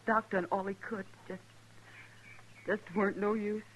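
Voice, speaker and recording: An actress playing a humble, grieving frontier widow with rustic American speech in a 1950s radio western. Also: female voice, mid-range voice, level tone, lightly acted. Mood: gentle, grieving. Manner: rustic, halting, gracious. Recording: faintly noisy old radio recording.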